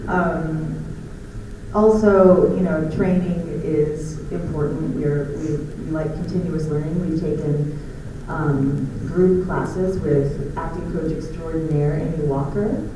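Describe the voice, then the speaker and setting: A woman's voice: low-pitched, steady tone, takes it slowly. A woman giving an informal conference talk as an audiobook narrator, chatty, scattered and self-deprecating. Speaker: audiobook narrator and director at an audio theater company. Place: large conference room indoors. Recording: echoey room, distant dull mic, clear noise.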